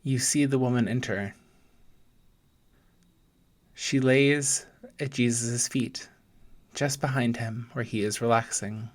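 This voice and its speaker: Slow-paced man leading guided meditation. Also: mid-range voice, fairly even intonation. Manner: gentle, deliberate, pausing between short phrases.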